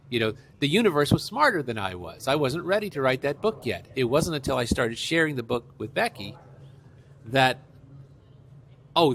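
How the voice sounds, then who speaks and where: A mature man speaks as an author guest in an interview, with a mid-pitched voice. He tells stories conversationally, quoting exchanges and repeating key phrases for emphasis. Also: creaky, fairly bright tone, a little hiss or hum. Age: middle-aged or older. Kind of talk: conversational interview, anecdotal storytelling.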